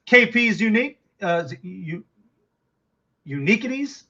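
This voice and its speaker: Middle-aged Texan man, livestream host, rambling and chatty, slightly high bright voice. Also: big pitch swings, a little slow, muffled recording. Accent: Texas. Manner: rambling, chatty, full of fillers and restarts. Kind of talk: casual livestream chat.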